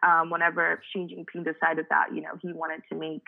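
A woman's voice: low voice, completely flat delivery, brisk, gravelly. A young woman from Cameroon, a native French speaker, talking casually as an interview guest, with many fillers. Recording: tinny phone-like line.

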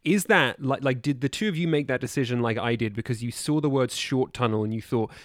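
A man's voice: medium pitch, a little fast, no echo, clean studio sound. A man in casual podcast conversation, asking questions and reasoning things through.

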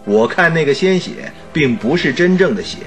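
An actor playing a detective's good-humoured, inquisitive companion in a Mandarin radio drama, chatty and bantering. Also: male voice, fairly high pitch, very animated, moderate pace, soft background music. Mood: amused.

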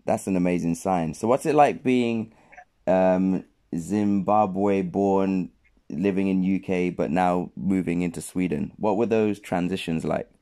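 A man hosting a casual podcast interview in a low voice. His tone is mellow and relaxed, and he keeps his guest going with short, encouraging affirmations. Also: a little slow. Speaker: podcast host.

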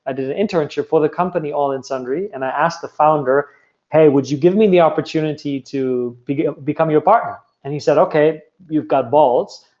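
A German-accented man in his thirties shares his personal story with an audience, earnest and candid, often restarting and repeating himself. His voice sits a little high for a man. The recording is dull and lo-fi. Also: darkish tone. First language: German or Italian. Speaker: speaker telling his life story. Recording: dull lo-fi sound.

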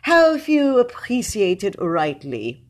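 A woman voicing a pompous, sanctimonious young gentleman poet who talks in an affected, high-pitched voice full of hesitant pauses. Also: wildly swooping pitch. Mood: pompous, gushing.

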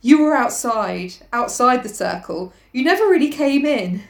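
A woman's voice: on the high side, animated, gravelly, reverberant, pitched above the performer's own voice. Woman playing a stricken, guilt-ridden boy. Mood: feverish, loathing. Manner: halting, intense.

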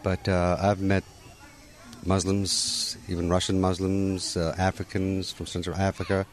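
Deep-voiced easygoing man from Morocco. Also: monotone, measured pace. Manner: easygoing, sprinkles in "you know" and restarts. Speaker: interviewee at a holiday gathering. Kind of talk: casual conversational answers.

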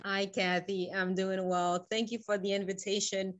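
A woman's voice: mid-range voice, flat delivery, moderate pace. A middle-aged woman from Haiti, an interview guest telling her own story in an earnest, reflective way. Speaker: guest, school founder.

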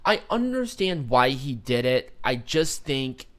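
A man's voice: mid-range voice, sing-song, a little slow. A man co-hosting a podcast, talking in a casual, opinionated way with informal, emphatic phrasing and repetition. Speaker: podcast co-host.

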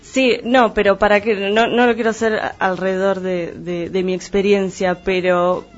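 Dull, lo-fi audio carries a mid-pitched Argentine Spanish voice. The speaker explains in a conversational but didactic way, clarifying terms one step at a time. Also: flat delivery, moderate pace, faint music behind.

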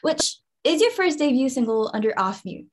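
A woman's voice: high-pitched, big pitch swings, normal speed. A woman co-hosting a casual interview, chatty, informal and enthusiastic with her questions and comments. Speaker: show co-host.

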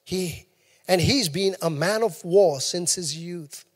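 A man preaching from the pulpit in a voice pitched unusually high, driving his points home by saying them twice. His words ring out through a large church hall with a long reverb trailing behind them. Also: slow pace. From New Zealand. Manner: emphatic, repeats key lines. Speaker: pastor. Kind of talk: sermon.

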